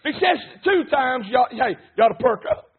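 A man's voice: very high voice, lively intonation, brisk, husky. A man preaching an emphatic revival sermon, punctuating lines with "hey" and "amen". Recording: tinny phone-like sound.

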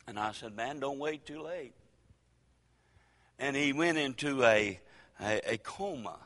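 An older man preaches in a drawl, folksy and full of dramatic pauses that break into sudden loud rises. His voice is bright and forceful. It rings out with a long reverb through a church hall. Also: medium pitch, animated. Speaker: pastor. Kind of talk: sermon.

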